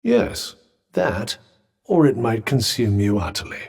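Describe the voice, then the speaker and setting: A dry-witted magical bracelet from a video game, full of sarcasm and clipped, formal wording. His pitch sits mid-range but swings in lively arcs between lines. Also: measured pace, creaky, husky, heavily acted. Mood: sardonic. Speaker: game character voice actor.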